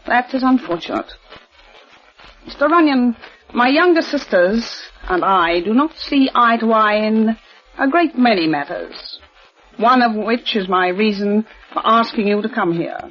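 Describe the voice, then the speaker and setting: A woman's voice: a little high, animated, unhurried. An actress in 1940s radio style playing a formal, insistent wealthy woman of forty. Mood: tense. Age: 40s. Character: tense, proper wealthy eldest daughter. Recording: old lo-fi radio recording.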